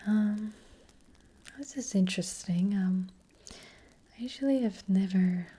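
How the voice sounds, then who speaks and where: A woman playing a gentle fortune-telling witch in a whispered, breathy ASMR roleplay, speaking hesitantly and reassuringly close to the microphone. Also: medium pitch. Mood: calm, reassuring. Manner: hesitant, unhurried, softly reassuring. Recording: faint noise, soft clicks.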